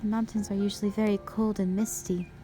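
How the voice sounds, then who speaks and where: A woman playing a gentle, devoted dragon wife in a romantic audio roleplay, speaking tenderly in formal, old-fashioned phrasing. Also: mid-range voice, little pitch movement, fairly quick, lightly acted. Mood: tender, happy. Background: music.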